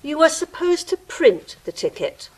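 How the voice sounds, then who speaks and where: A fussy gatekeeper creature played by a woman in an audio drama, high-voiced and unhurried. It is pompous and pedantic, lingering theatrically as its pitch swoops up and down. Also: very animated, darkish tone, low-quality recording. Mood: haughty, officious. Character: fussy, officious ticket-eating gatekeeper creature. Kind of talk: stage-style audio drama acting.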